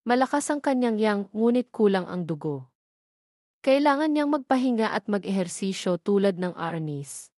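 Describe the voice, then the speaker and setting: An earnest young female student in an audio love story, read by a woman narrator. She speaks her devoted lines in a measured way at an average pitch. The sound is close and dry, without room echo. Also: animated, a little mellow, natural voice. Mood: earnest, explaining.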